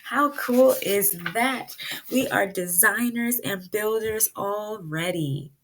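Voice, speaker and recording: A woman's voice: mid-range voice, slow pace, fairly bright tone, slight background noise, low-quality recording. A woman teaching pre-kindergarten children in a read-aloud lesson, explaining things simply and expressively with lots of questions.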